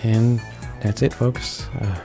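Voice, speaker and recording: A man reading a visual novel aloud as a let's play narrator, haltingly, stumbling and repeating words. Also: lowish voice, fairly even intonation. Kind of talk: read-along of a visual novel. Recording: voice-over with game music behind.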